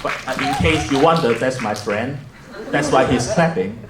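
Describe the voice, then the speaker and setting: A man's voice: mid-range voice, normal speed, over a noisy crowd, very noisy. Hong Kong-accented man in an echoey hall. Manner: self-deprecating, joking. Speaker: moderator. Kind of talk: opening remarks at a roundtable. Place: museum event hall.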